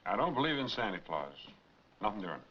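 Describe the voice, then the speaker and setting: An actor playing a stubborn, harried owner of a small trucking business, curt and firm, in 1940s film noir style. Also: male voice, fairly low pitch, big pitch swings, a little fast. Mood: curt, guarded. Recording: muffled, noisy 1940s film soundtrack.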